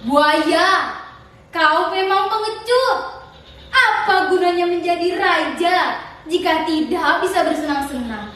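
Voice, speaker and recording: A woman's voice: very high voice, slow pace, a bit bright, voice pushed up, heavily acted. A woman voicing a selfish, pleasure-loving young prince with a taunting, careless manner, in a storytelling performance. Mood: mocking. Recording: distant echoey room with faint noise.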